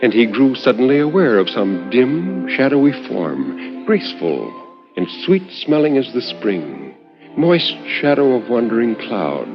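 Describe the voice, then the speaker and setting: Man narrating expressively on dull 1950s radio. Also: mid-range voice. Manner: measured, expressive, lingering on phrases with dramatic pauses. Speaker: narrator reading a short story on a radio horror anthology.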